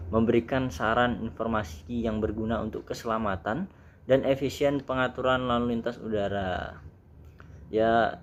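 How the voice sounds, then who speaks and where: Man, Indonesian accent, fairly low pitch, informal lesson delivery, English technical terms mixed in, slightly noisy amateur recording. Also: muffled recording. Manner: repeatedly addresses viewers as friends. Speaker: air traffic controller, YouTube presenter. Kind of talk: informal explainer lesson, reading out definitions.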